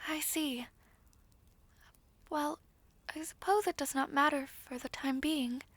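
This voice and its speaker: A woman voicing a gentle, reserved young princess in a fantasy audio roleplay, speaking politely and formally with some hesitation. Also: high-pitched, slow pace, dry recording. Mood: uncertain.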